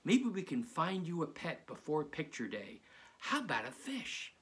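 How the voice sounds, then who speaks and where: Eager zombie schoolboy, acted by a man, lifted pitch, bright, kind-hearted, storybook character. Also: wildly swooping pitch, moderate pace, slightly rough, full character voice. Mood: eager, helpful.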